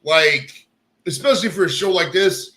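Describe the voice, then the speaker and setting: Male podcast host, casual and profane, bright forceful voice a bit high for a man. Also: moderate pace. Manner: loose and profane, says bro and dude. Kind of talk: casual chat.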